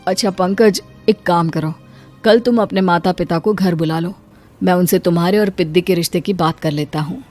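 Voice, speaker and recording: A woman's voice: on the low side, normal speed, darkish tone, soft background music, natural voice. A woman voicing a meek, dutiful poor brother with a mild, placating manner in a cartoon story. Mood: earnest.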